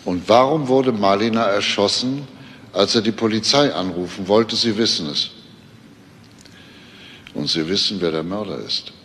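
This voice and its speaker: An actor playing a calm, persistent police detective who questions pointedly in a German crime drama. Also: male voice, fairly low pitch, moderate pace, pauses often, close to an everyday voice. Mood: insistent. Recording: very reverberant hall, faint noise.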